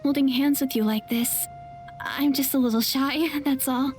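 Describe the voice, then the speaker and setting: Brief: young anime game heroine woman acting, extremely high girlish voice, flustered yet plucky, faint music behind. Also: fairly even intonation, moderate pace, a little mellow, close to an everyday voice. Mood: shy, flustered.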